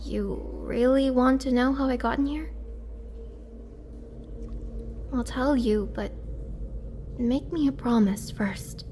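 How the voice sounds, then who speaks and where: Casting: actress for young-looking ancient vampire prisoner, female, wry and pleading, slightly high pitch, unhurried halting delivery, audio roleplay. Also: mellow, slight background noise. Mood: pleading, lonely. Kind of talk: dramatic girlfriend roleplay audio acting.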